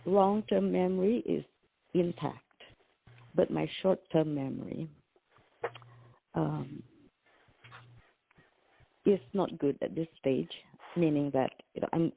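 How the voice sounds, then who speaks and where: A woman from Malaysia with a Malaysian accent, speaking as a co-host in a halting way, searching for words. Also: sits low, creaky, slightly rough. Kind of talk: casual interview chat. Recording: phone-like video call audio.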